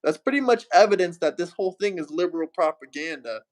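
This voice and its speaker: A man's voice: high voice, lively intonation, fast talker. A man hosting a livestream, arguing heatedly and mockingly with a caller and asking viewers for super chats. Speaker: livestream host. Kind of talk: heated political livestream debate.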